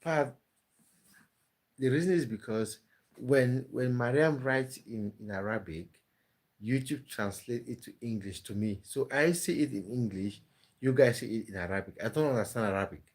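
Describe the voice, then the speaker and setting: A man's voice: medium pitch, normal speed. A man hosting a casual live stream, reading viewers' comments aloud and answering them with easy laughter.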